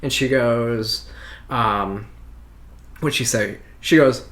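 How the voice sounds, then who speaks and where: A woman with a fairly deep voice, hosting a casual reaction chat, talking freely with anecdotes and laughing often. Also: slow, a bit bright. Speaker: host.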